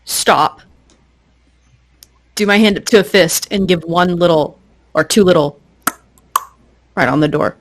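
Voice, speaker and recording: Low-voiced woman role-playing. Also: a little slow, long pauses. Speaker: player in a tabletop role-playing game. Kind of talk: casual in-character role-play.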